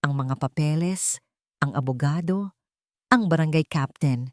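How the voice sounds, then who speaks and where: A woman's voice: sits low, a little slow, darkish tone, dry recording, clean studio sound. A woman narrating a scripted story in a dramatic storytelling style.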